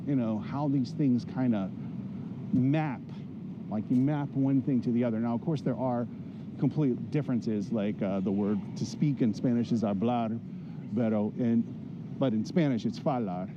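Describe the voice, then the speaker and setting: A man's voice: mid-range voice, normal speed. A man vlogging while walking through a city street, thinking aloud in a casual, rambling way with plenty of fillers. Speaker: travel vlogger. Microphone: handheld camera while walking. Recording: dull lo-fi street recording with loud traffic. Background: loud traffic noise.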